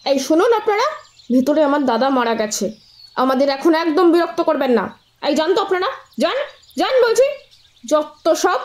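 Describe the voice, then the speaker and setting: An actress playing an agitated, reclusive middle-aged sister in an audio drama, snapping at people in a shrill, dismissive way. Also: female voice, lively intonation, normal speed, pauses often. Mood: angry.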